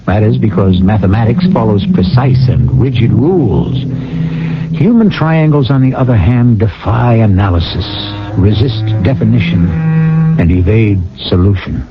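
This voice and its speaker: Male radio host, low pitch, measured rhetorical narration, muffled vintage broadcast, prominent music underneath. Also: expressive. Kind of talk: scripted radio mystery narration.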